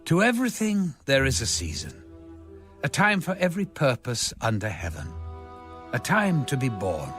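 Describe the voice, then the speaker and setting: A man narrating scripture in a solemn, dramatic reading, measured and formal, with a rising and falling cadence. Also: mid-range voice, wildly swooping pitch, fairly bright tone, faint music behind. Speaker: audio Bible narrator.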